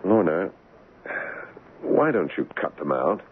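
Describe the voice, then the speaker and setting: Casting: wry American husband and narrator, deep register, radio drama, narrow hissy vintage broadcast sound. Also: male voice, big pitch swings, slow, husky, heavily acted. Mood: concerned. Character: American husband, wry, affectionate, haunted narrator of his tale. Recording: muffled, noisy 1970s radio broadcast.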